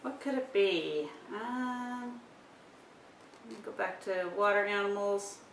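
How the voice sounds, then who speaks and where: A woman's voice: slow, fairly bright tone. A woman thinking aloud as she gives casual instruction, presenting how to use a communication device. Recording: roomy, distant mic with rumbling noise.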